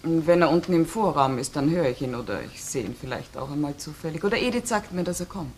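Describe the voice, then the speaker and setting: An actress playing a cool, reserved widow in a German radio crime drama, giving guarded, clipped, matter-of-fact answers as a witness. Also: female voice, low voice, animated, quick pace, a little mellow. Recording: old radio recording, faint noise.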